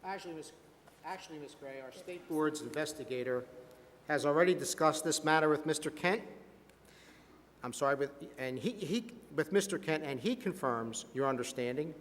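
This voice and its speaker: Man acting, polite board official, slightly high voice, halting procedural delivery, scripted stage dramatization, echoey hall. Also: a bit bright, close to an everyday voice. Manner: polite, stumbling over his words. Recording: echoey conference hall sound system.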